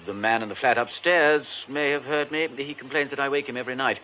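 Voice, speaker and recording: A man's voice: mid-range voice, a little fast, close to an everyday voice. An actor playing a jaunty, self-mocking British theatre man in a radio drama, wordy, hesitant and wry. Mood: affable. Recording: muffled radio broadcast, faint noise.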